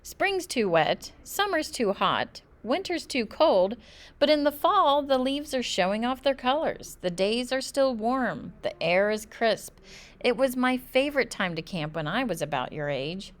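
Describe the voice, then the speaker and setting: A woman's voice: fairly high pitch, big pitch swings, a little slow, pitched above the performer's own voice. A woman voicing a kindly, encouraging father who is proud and outdoorsy, in a children's storybook. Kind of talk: children's storybook read-aloud.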